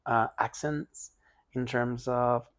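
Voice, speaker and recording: A man's voice: mid-range voice, fairly even intonation, slow pace, fairly bright tone, no room sound. A man from Venezuela, a native Spanish speaker, chatting casually as a podcast guest, rambling with many restarts and fillers. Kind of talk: casual interview talk.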